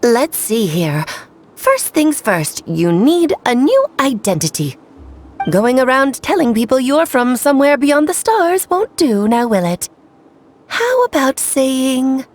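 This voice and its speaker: Casting: knowing, playful fantasy game mentor, highish animated pitch, theatrical, some ambient noise. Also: female voice, slow pace, full character voice. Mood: teasing.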